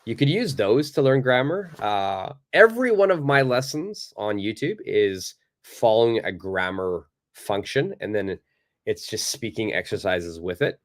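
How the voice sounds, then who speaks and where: A male native English speaker teaching a live online English lesson, answering viewers' questions in a relaxed, chatty way with lots of fillers. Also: fairly low pitch, a little slow. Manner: relaxed and conversational, many fillers, acts out little examples.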